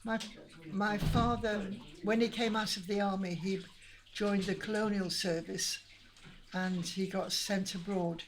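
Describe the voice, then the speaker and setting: A woman's voice: medium pitch, fairly even intonation, measured pace, crowd noise, low-quality recording. An older woman with a Lancashire accent, from Manchester, answering interview questions in a chatty, reminiscing way with frequent restarts. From Manchester, England. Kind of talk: casual interview chat.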